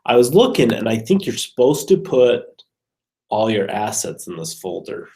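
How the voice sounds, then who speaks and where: Gravelly man casually explaining code. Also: mid-range voice, sing-song, moderate pace, darkish tone. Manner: hesitant, unsure, thinking aloud. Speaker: programming student showing code. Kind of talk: casual screen walkthrough of code.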